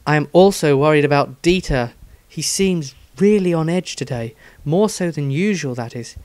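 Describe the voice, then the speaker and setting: Fantasy audiobook role: earnest young female healer, worried and measured, pitched a little up by a male reader, dry sound. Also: lively intonation, darkish tone, no room sound, lightly acted. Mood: worried, uneasy. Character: worried, thoughtful young woman healer keeping a diary. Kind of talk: dramatised fantasy audiobook diary.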